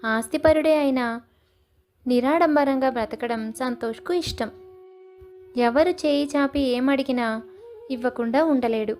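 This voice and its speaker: A woman's voice: high-pitched, a little slow, pauses often, darkish tone. A woman storyteller reading scripted narration for a moral story.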